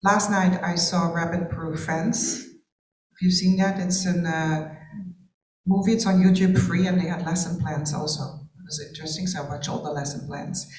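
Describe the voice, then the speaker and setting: A woman's voice: fairly low pitch, steady tone, normal speed, darkish tone, slight background noise. A woman from Curacao with Dutch as her first language, speaking reflectively on a panel, searching for words with restarts. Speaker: panel participant. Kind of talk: panel discussion. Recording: roomy sound from far off the mic.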